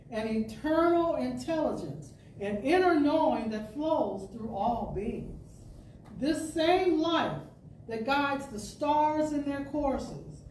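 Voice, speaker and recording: A woman with a fairly high, mellow voice reads an inspirational talk aloud. She goes very slowly, with deliberate phrasing and expressive pauses, in the manner of a storyteller. The sound is roomy and a little distant from the microphone. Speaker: storyteller reading a spiritual radio talk. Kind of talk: dramatic inspirational reading to an audience. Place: indoor spiritual center hall. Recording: roomy, distant mic with faint noise.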